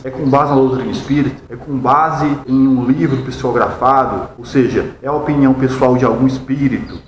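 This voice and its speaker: A man in his thirties with a Brazilian accent, giving explanatory opinion commentary in a deliberate, didactic way, prone to rhetorical questions. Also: medium pitch. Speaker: video commentator. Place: small bare-walled room indoors. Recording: echoey room with background noise.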